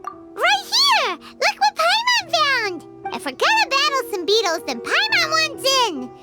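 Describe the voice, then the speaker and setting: A woman's voice: very high-pitched, huge pitch swings, a little slow, loud music behind the voice, heavily acted. A woman voicing a tiny, childlike fairy companion in a video game who chirps and talks about herself in the third person. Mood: chirpy.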